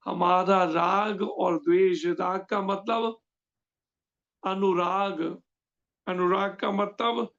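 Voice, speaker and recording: Man teaching a scripture class, high gritty voice, asks the room questions as he goes, dull lo-fi sound. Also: fairly even intonation, gravelly, a bit bright. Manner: explains slowly, quizzing listeners with questions. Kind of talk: devotional scripture lecture.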